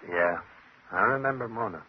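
An actor playing a furtive small-time crook with murder in mind, guarded and hesitant, in a 1950s radio thriller. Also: male voice, lowish voice, moderate pace, husky. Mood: wry, reminiscing. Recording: muffled, noisy old radio recording.

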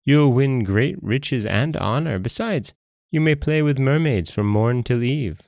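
A man's voice: medium pitch, lively intonation, a little slow, darkish tone, dry recording, pitched above the performer's own voice. A man voicing a naive, eager, foolish jellyfish servant in a storybook tale, guileless and coaxing. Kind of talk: storybook character voice.

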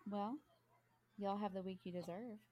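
A woman co-hosting a podcast in casual chat, emphatic, sardonic and quick to swear. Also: mid-range voice, fairly even intonation, muffled recording. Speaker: podcast co-host.

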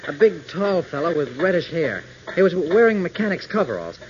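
A man's voice: on the high side, sing-song, fairly quick, noisy background. A man playing an earnest, exasperated young pilot hero in a 1940s radio drama. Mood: polite. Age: young adult. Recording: muffled old radio broadcast, crowd behind.

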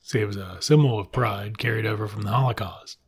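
A middle-aged American man from Mississippi with a husky voice, giving comic commentary in an irreverent, sardonic, swearing manner. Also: on the low side, fairly quick. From Mississippi, United States.

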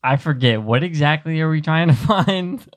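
A man's voice: fairly high pitch, lively intonation, moderate pace. A man playing a tabletop role-playing game, speaking casually and asking questions in game.

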